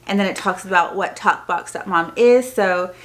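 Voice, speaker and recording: A woman's voice: mid-range voice, normal speed, fairly bright tone. A woman chatting informally to her viewers as a homeschooling parent vlogger, explaining things as she shows them in a casual unboxing style.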